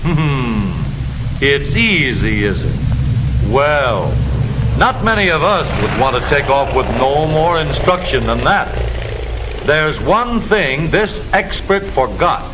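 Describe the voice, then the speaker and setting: A man's voice: fairly high pitch, expressive, slow pace. An American man delivering scripted 1940s newsreel-style narration for a military training film, in a declamatory, instructive manner. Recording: noisy old film soundtrack.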